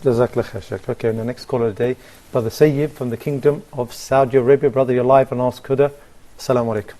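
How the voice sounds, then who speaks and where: Brisk host of a call-in show. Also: male voice, medium pitch, fairly even intonation, warm tone. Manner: brisk, polite, affirming. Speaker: host taking calls. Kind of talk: live call-in show hosting.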